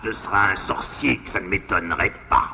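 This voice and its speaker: A man playing a scheming old grandfather wolf in a French cartoon, speaking slowly and slyly in a low, gravelly voice. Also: bright, forceful tone, in a large hall, slight background noise, full character voice.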